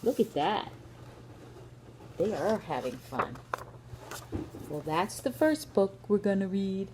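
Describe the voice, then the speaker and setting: A woman's voice: medium pitch, very animated, slow pace. A woman reading picture books aloud to young children, adding chatty asides as she goes. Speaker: storybook reader for young children. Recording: clear background noise.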